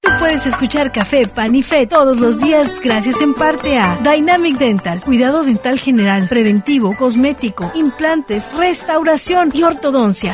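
A woman reading scripted sponsor announcements and a phone number on the radio in a brisk, promotional way. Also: high voice. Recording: lo-fi radio broadcast.

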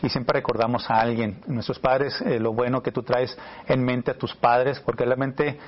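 A man's voice: mid-range voice, little pitch movement, moderate pace, dull audio. A man hosting a conversational interview program in an easy question-and-comment style. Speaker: talk show host.

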